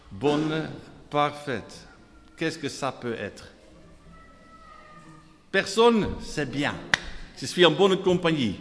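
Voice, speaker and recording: A man with a Dutch accent, preaching a sermon, reading scripture and repeating phrases in lists while calling out to the congregation. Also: a little high. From Netherlands. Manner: reads scripture aloud in quotes; calls out to the congregation. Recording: long hall reverb.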